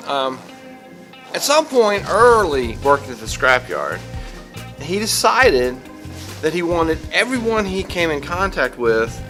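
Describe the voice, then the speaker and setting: Middle-aged man, sharp-edged bright tone, casual reflective vlogger, earnest, stop-and-start delivery. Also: on the high side. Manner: halting, earnest, thinking aloud. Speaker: vlogger and teacher. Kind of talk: casual, reflective vlog talk to camera.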